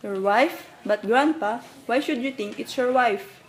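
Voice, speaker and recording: A woman's voice: on the high side, measured pace, slight background noise, natural voice. A woman playing a curious, polite young granddaughter in a radio play, questioning and respectful.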